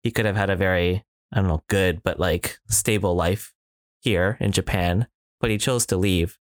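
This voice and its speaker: A man's voice: deep voice, fairly even intonation, normal speed, dry recording, studio quality. A man in casual podcast chat, reflective, with many fillers and restarts. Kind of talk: casual conversation.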